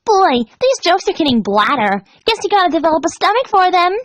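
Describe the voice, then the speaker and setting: A woman's voice: extremely high pitch, sing-song, a little fast, fairly bright tone, no echo, pitched above the performer's own voice, full character voice. A woman voicing a gleefully cruel, bubbly young party pony in a storybook reading, perky and jokey while she menaces. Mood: gleeful joking. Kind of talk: storybook fan fiction character voice.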